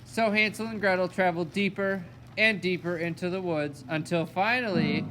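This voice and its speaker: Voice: mid-range voice, moderate pace, a bit bright, a little hiss or hum, poor audio. A narrator telling a fairy tale in a storytelling style for a children's puppet show.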